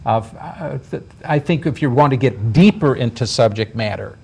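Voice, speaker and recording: A man's voice: medium pitch, expressive, moderate pace, gravelly, darkish tone, breathy edge. Hesitant stammering man. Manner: hesitant, many stammers and restarts. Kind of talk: casual talk show conversation.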